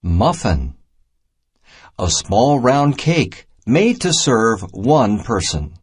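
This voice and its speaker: Male narrator for a kids' educational storybook: deliberate, unhurried read, animated intonation, clearly separated phrases. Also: mid-range voice. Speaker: narrator of a children's math storybook. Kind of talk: scripted read-aloud narration, simple and instructional.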